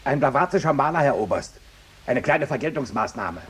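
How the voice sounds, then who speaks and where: An actor playing a deferential army captain with a military manner in a 1950s German radio play. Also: male voice, mid-range voice, animated, fairly quick, fairly bright tone, slightly rough. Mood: dutiful. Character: deferential male army captain, subordinate to colonel.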